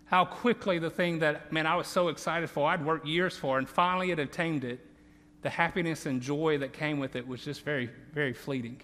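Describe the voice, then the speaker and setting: A man's voice: on the high side, fairly even intonation, brisk, a bit bright, a little hiss or hum. A man in his thirties with a Southern accent from Louisiana, preaching a sermon as campus pastor in an earnest, conversational way with many restarts. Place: large church auditorium. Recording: long reverb of a large church hall.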